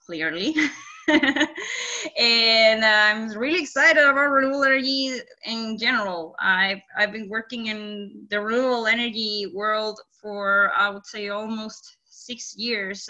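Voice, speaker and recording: A woman's voice: medium pitch, unhurried, sharp tone, lo-fi sound. A young woman from Chile with a Chilean Spanish accent, talking casually and eagerly as an interview guest, with many fillers. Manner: enthusiastic, many fillers, laughs easily. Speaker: guest, design engineer.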